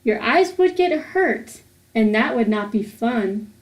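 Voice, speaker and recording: High-voiced woman reading aloud to children. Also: expressive, takes it slowly. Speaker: host of a children's read-aloud series. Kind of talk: children's picture book read-aloud.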